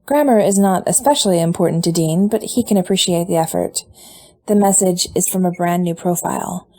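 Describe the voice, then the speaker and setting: Mellow woman reading a story aloud. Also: lowish voice, fairly quick. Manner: relaxed storytelling with voiced dialogue. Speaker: podfic reader. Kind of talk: reading fan fiction aloud.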